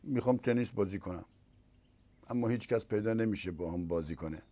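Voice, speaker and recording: A man voicing a sad, lonely dragon who wants a tennis partner, speaking quickly and eagerly. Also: on the low side, mellow, close to an everyday voice.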